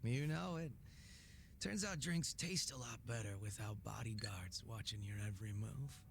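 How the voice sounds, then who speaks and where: A man's voice: on the low side, moderate pace, gravelly, a little hiss or hum. A man playing a laid-back, sardonic former gang boss in a video game cutscene, talking casually with teasing and profanity. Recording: music under the dialogue.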